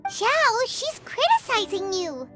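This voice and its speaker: A woman voicing a cheeky, childlike little fairy companion in a video game, with a squeaky, exclamatory delivery. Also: very animated, slow, full character voice. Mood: cheeky, curious. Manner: speaks of herself in third person, exclamatory. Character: Paimon, tiny childlike floating fairy girl companion, cheeky. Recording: music behind the voice.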